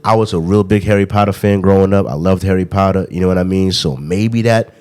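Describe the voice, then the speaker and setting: Young guy on a podcast, lowish voice, laid back, rambly stories, keeps saying you know what i mean. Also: fast, darkish tone, clean tone. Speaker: podcast host. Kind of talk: casual chat.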